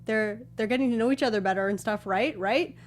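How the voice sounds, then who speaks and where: A woman's voice: a little high, brisk. A woman co-hosting a review show in casual, easygoing conversation. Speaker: show co-host.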